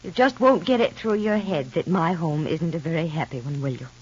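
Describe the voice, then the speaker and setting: An actress in an old radio soap opera playing a frank, insistent, unhappily married woman, speaking earnestly and deliberately. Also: deep voice, darkish tone, slightly rough. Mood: weary, troubled. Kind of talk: 1940s-50s radio soap opera acting. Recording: muffled old radio recording.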